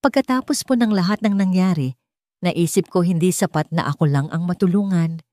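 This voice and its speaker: A woman's voice: lowish voice, fairly quick, mellow, dry recording, natural voice. A woman with a Filipino accent voicing an earnest, grateful young female student who speaks politely and from the heart.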